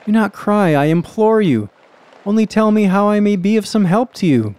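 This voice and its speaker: A man voicing a kind, earnest gentleman in a storybook tale, imploring gently and repeating himself. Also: high-pitched, animated, normal speed, clear, resonant tone, pitched above the performer's own voice. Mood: gentle, pleading.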